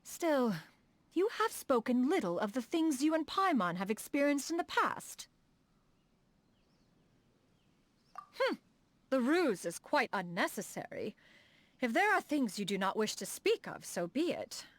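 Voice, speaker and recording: A woman's voice: sits high, big pitch swings, moderate pace, no room sound. A woman voicing a proud, refined immortal inventor in a video game, with formal, archaic and lofty diction. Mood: fond, gracious. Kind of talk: scripted video game character dialogue.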